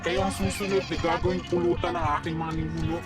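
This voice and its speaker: A woman playing a young aswang heir to a queen's crown, speaking calmly and with menace in a horror audio drama. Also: on the low side, quick pace, loud music behind the voice, natural voice. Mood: coldly menacing. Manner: calm, menacing.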